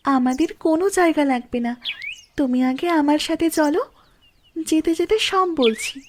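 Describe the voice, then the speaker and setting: A woman playing a reassuring young village wife in a cartoon, with a gentle, encouraging manner. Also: high voice, normal speed, slight background noise, natural voice.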